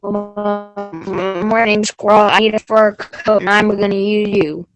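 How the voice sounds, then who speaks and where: A folksy frontier hunter acted by a woman, bold and plain-spoken. The delivery is declamatory, like a children's stage reading, at a middling pitch. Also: little pitch movement, slow pace, no echo, faint music behind, close to an everyday voice. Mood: bold, determined. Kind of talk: readers theater for children.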